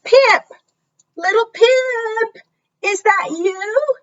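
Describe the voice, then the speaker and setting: A woman's voice: extremely high pitch, very slow, muffled recording, pitched above the performer's own voice, heavily acted. A woman voicing a tender, relieved penguin parent in a children's storybook. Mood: calling out.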